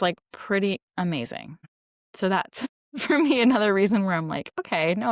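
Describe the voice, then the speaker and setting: A woman's voice: mid-range voice, no room sound, studio quality. A woman, chatting casually about her family life and using lots of "like" fillers. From New Jersey, United States. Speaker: mom vlogger living abroad. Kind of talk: casual talk to camera.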